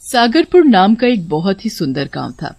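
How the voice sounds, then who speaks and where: A woman's voice: medium pitch, sing-song, moderate pace, gravelly, a little mellow, dry recording. A woman reading scripted story narration as the narrator.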